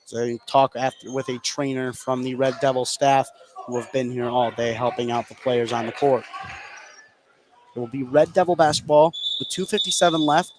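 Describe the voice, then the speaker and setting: An American man doing live radio play-by-play of a basketball game, following the action in running detail. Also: medium pitch. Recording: clear gym noise behind commentary. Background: basketball game ambience.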